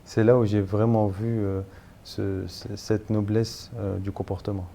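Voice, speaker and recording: A man's voice: lowish voice, level tone, unhurried, creaky, dark tone. A man in his thirties from northern France, a guest telling his story in an interview, hesitant with many fillers and restarts.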